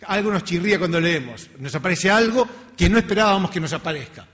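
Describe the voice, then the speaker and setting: A man's voice: high voice, animated, fast, fairly bright tone. A middle-aged man with a Latin American accent giving an informal academic talk in a conversational, self-deprecating way. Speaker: research scientist giving a lecture. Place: lecture hall. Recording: reverberant hall.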